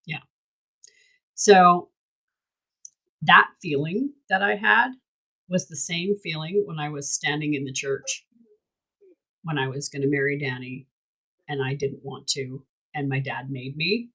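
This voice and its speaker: A woman's voice: deep voice, steady tone, unhurried, pauses often, bright, forceful tone, breathy edge, low-quality recording. A woman hosting a candid casual chat, talking emphatically and bluntly and swearing freely. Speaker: host.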